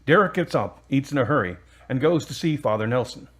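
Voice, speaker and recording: A man's voice: medium pitch, lively intonation, brisk. A man reading scripted narration as the narrator of an audio drama. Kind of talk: scripted story narration in present tense.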